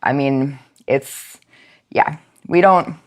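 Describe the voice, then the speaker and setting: A woman's voice: extremely low pitch, extremely slow. A woman being interviewed as a guest, talking informally with many "likes" and emphatic agreement. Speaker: podcast guest, nonprofit outreach and education manager. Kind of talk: casual interview chat.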